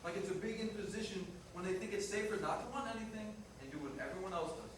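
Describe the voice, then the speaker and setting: A man's voice: high voice, fast, close to an everyday voice. A man acting a restless, blunt Italian-American ex-fiancé in a staged play reading. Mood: earnest, frustrated. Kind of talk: staged reader's theater dialogue. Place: live staged reading in a reverberant room. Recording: echoey, noisy room, distant mic.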